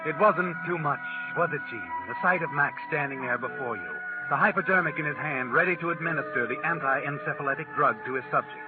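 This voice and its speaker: High, sinister radio storyteller played by a man. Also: fairly quick, few pauses, lightly acted. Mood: knowing, ominous. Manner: dramatic, insinuating second-person storytelling. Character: sinister, knowing male storyteller addressing the character. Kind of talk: 1940s radio thriller narration. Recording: tinny vintage radio broadcast. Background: loud dramatic music.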